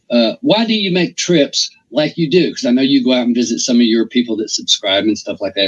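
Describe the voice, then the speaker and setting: Husky man with rambling questions. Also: fairly low pitch. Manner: rambling, restarts, filler-heavy questions. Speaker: interview host. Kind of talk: casual interview. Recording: dull, lo-fi audio.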